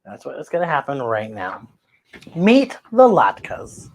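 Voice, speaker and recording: Children's read-aloud host, female, very animated delivery, effusive, repeats key phrases. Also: extremely low pitch, a little slow, slight background noise, poor audio. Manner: exclamatory, gushing, repeats phrases. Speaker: children's story time host.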